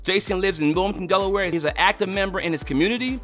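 Male host, enthusiastic, slangy, bright tense voice, slightly high, faint music behind. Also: very fast. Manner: enthusiastic, slangy, laughs often. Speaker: show host. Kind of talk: interview hosting.

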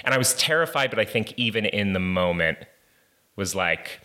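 Man, podcast guest, low pitch, bright tone, halting, casual storytelling. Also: animated. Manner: hesitant storytelling, many fillers and restarts. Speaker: guest, comedy writer.

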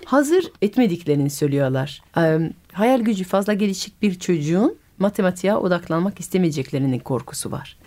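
A woman's voice: lowish voice, animated, brisk, hardly any pauses, mellow. A woman from France, by birth, hosting a radio interview in a curious, questioning way and often finishing the guest's sentences. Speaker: radio host and storyteller.

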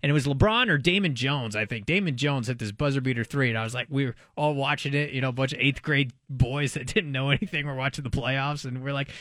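A man's voice: mid-range voice, quick pace, hardly any pauses, fairly bright tone, no room sound, studio quality. A man co-hosting a sports talk show, speaking casually and slangily with an enthusiastic storytelling manner. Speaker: sports radio co-host.

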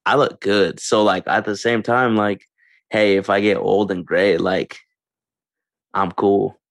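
Deep-voiced young man, dry and close. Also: unhurried, dry recording. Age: 20s. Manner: slangy, relaxed, says "bro" and "facts". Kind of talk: casual chat.

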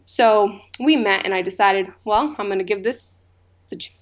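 A woman's voice: medium pitch, normal speed. Chatty woman guest. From Massachusetts, USA. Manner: chatty, frequent "you know" and "um" fillers. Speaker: guest, food tour founder. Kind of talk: conversational interview answers.

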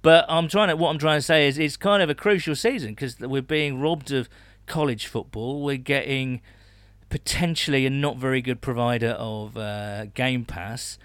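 A man's voice: medium pitch, moderate pace, a bit bright, no echo. British man hosting a podcast, dry close sound. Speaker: podcast host. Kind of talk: casual sports podcast chat.

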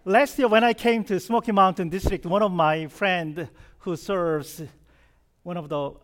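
Korean-accented pastor with a higher voice. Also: male voice, steady tone, measured pace, fairly bright tone. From South Korea. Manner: chatty and humorous, asks the congregation questions. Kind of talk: conversational sermon.

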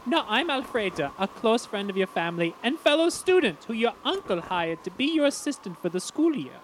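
Woman as a lively, proper teenage student assistant. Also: on the high side, brisk, slight background noise, low-quality recording. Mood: polite. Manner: proper, formal, deferential. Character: polite, bespectacled student assistant, teenage, eager butler type. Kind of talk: comedic cartoon voice acting.

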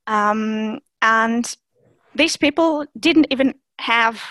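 Russian-native woman, hesitant, bright pitch. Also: takes it slowly, no room sound. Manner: hesitant, many fillers and restarts. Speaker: German teacher and Instagram creator. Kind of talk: interview guest, casual conversation.

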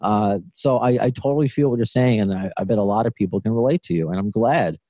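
A man's voice: fairly low pitch, fast talker, dark tone, no echo. A man in his late thirties hosting a podcast interview, chatty, laughing often and restarting sentences. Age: 30s. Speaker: podcast host. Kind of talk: casual interview.

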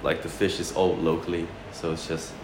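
A man's voice: sits low, level tone, a little fast. A man from Malaysia, a chef, telling anecdotes casually and informally in an interview, repeating phrases as he goes. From Malaysia (Sarawak), later New Zealand. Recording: roomy echo, loud background noise.

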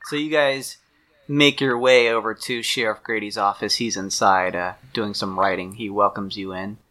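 A man's voice: lowish voice, steady tone, moderate pace, sharp tone. A man narrating a tabletop role-playing game as game master, improvising the scene for the players.

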